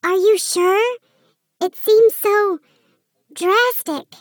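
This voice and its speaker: A woman's voice: very high-pitched, drawn-out words, no echo, clean studio sound, pitched above the performer's own voice, heavily acted. A woman voicing a nervous, rule-bound male Christmas elf who speaks in a fussy, trembling way.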